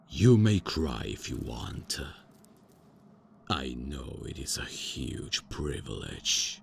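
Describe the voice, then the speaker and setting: A man performs an eccentric vampire count, stuck in old ways and full of his own importance. The voice sits extremely low and rough with gravel, and the delivery is unhurried and formal. Grand pronouncements keep getting tripped up by nervous fillers and hasty self-corrections. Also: a bit bright, a little hiss or hum, full character voice. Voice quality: deep and gravelly. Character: pompous old-fashioned male vampire count, eccentric and out of touch. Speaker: voice actor. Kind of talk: ASMR roleplay audio.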